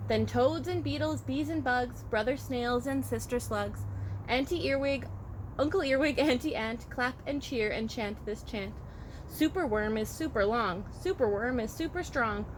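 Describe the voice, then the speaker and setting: A woman reading a children's picture book aloud as a childcare storyteller, expressive and rhythmic with the rhymes. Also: on the high side, measured pace. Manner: expressive, rhythmic rhyming delivery. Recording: faint background noise.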